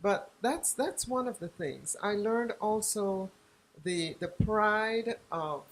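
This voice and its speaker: A woman's voice: mid-range voice, expressive, slow, low-quality recording. Reflective older woman from Guyana. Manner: reflective, searches for words. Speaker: author and journalist, guest. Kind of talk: interview.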